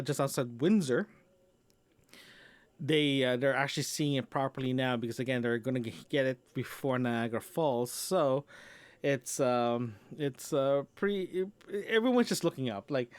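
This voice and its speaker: A man hosting a personal audio log, talking casually off the cuff in a halting, hesitant way and often repeating his phrases. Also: medium pitch, dry recording. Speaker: podcast host recording a personal audio log. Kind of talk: casual off-the-cuff diary narration. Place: indoors in an office.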